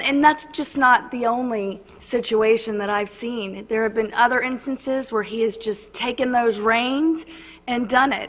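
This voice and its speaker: A woman on a city council, slightly hoarse, earnestly making her case point by point at a meeting. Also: fairly high pitch, fairly bright tone. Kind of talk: formal remarks at a council meeting. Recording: long reverb of a council chamber.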